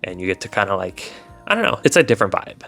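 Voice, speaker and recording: Low-voiced man in casual rambling commentary. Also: big pitch swings, fast talker, fairly bright tone. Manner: rambling, hedging, informal. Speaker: video host reviewing visual novels. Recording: voice over background music. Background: music.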